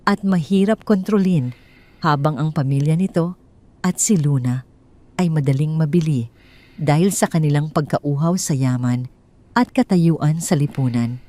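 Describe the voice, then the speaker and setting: A woman's voice: sits low, mellow. A woman with a Filipino accent narrating a scripted dramatic story.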